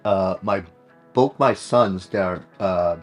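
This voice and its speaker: A middle-aged man from South Korea with a Korean accent, chatting casually and haltingly, often saying "you know". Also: sits low, faint music behind. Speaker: client visiting a workshop. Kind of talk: casual conversation.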